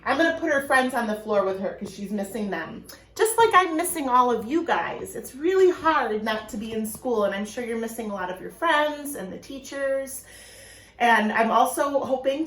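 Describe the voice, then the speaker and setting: A woman's voice: a little high, fairly bright tone. A woman elementary school teacher talking informally to her pupils and reading aloud, addressing the children directly. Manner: addresses children directly, asks them questions. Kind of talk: informal video message and read-aloud for pupils. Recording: echoey room.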